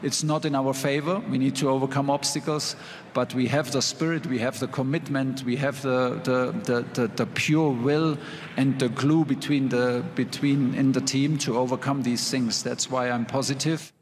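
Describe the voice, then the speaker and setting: A man's voice: medium pitch, fairly even intonation, moderate pace. A man speaks with determination in a press interview, stumbling now and then as he looks for words. The sound rings with a long reverb and faint background noise. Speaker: football player or coach.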